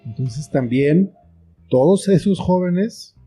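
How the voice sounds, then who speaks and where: A middle-aged Mexican man chats casually as an interview guest. His voice is fairly high for a man but very soft-edged and mellow, with guitar music playing along behind him. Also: animated, slow pace, breathy edge. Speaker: interview guest, writer and film teacher.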